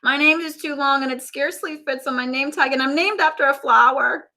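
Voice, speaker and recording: A woman's voice: high voice, brisk, pushed and bright, pitched above the performer's own voice. A woman voicing a sensitive, earnest little girl in a children's storybook. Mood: dejected.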